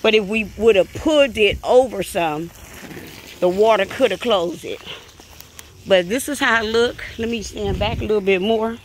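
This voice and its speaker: A woman's voice: medium pitch, moderate pace, slight background noise. A middle-aged woman, chatting casually to her viewers as she walks them through her kitchen and backyard. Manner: chatty, talks straight to viewers. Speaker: vlogger showing her family cookout. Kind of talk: casual vlog walkthrough. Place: home kitchen and backyard, outdoors.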